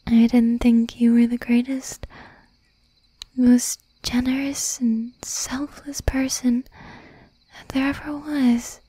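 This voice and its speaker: A woman playing a tender, possessive young demon girlfriend in an affectionate, whispered ASMR roleplay, soft and breathy. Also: a little high, no room sound, rough recording. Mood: tender, reassuring.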